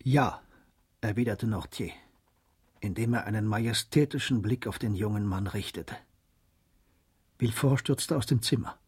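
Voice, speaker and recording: An actor as the composed storyteller of a German radio drama, narrating a classic novel in a husky voice. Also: male voice, lowish voice, normal speed, pauses often, a little mellow.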